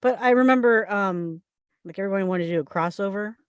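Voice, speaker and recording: A woman's voice: mid-range voice, brisk, clear, resonant tone, no echo, highs cut off. A woman in her forties chatting casually and telling stories in a group conversation. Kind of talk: casual group chat about television.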